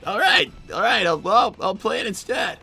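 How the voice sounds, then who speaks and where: A man's voice: sits high, lively intonation, moderate pace, creaky, sharp tone, breathy edge, soft background music, heavily acted. A man voicing a defensive, flustered young male fox brother in improvised banter, stammering and exasperated as he hosts a live stream in character. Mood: indignant.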